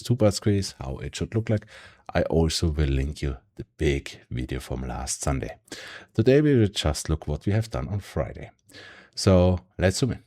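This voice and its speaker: A man with a German accent and a very low voice walks through price charts in a relaxed, conversational way. He speaks English as a second language, with frequent ums and a habit of ending points with a questioning "yeah?". He sounds like a regular host explaining his method to viewers. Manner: tag questions and fillers, yeah, um. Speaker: stock market YouTuber. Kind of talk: casual screen-share market analysis.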